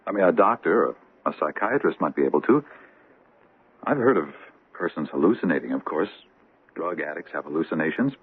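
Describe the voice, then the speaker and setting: A man's voice: a bass voice, lively intonation, brisk, slightly rough, full character voice. A man playing a deferential, evasive junior bank assistant in an old-time radio drama, polite and hesitant. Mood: uneasy, careful. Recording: tinny band-limited radio drama recording.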